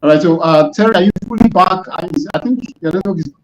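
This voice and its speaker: A man's voice: sits high, fast. A man casually hosts and moderates a live discussion over an online video call. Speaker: host. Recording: dull, lo-fi internet call audio.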